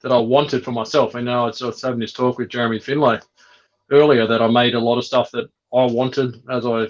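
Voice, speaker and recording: A man's voice: medium pitch, flat delivery, brisk. Male breeder guest, mid-range voice, dull lo-fi recording, easygoing explanatory chat. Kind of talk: casual chat. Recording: dull lo-fi sound.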